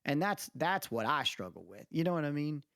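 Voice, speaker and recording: A man's voice: a little high, very animated, brisk, a bit bright, dry recording. Rambling male podcaster with a dry, close sound. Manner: rambling, emphatic, often checks with listener "you know what I mean". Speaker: podcast co-host. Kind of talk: casual opinionated podcast chat. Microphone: close mic on remote podcast call.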